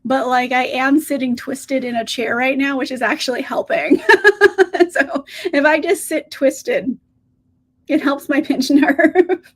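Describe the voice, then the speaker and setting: High-voiced chatty woman, scratchy today. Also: unhurried. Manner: chatty, upbeat, laughs often. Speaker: book channel host. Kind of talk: casual livestream chat, reading out viewer comments.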